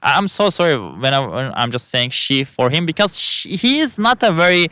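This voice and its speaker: Bright-voiced Turkish man, halting English. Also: on the high side, very animated, clean tone, no room sound. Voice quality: halting, searching for English words. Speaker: vlogger. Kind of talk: casual monologue.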